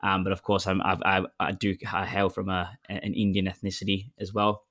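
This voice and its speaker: A man's voice: low voice, completely flat delivery, normal speed, fairly bright tone, dry recording, studio quality. A man in his twenties with an Australian accent, chatting casually as a guest in a hesitant, laid-back, self-correcting way. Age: 20s. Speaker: podcast guest. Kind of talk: casual conversational interview.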